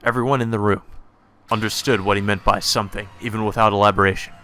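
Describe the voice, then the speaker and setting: A man's voice: on the low side, fairly even intonation, fast talker, bright, forceful tone. A man reading visual novel narration aloud as a let's player. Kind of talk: scripted narration with light reactions.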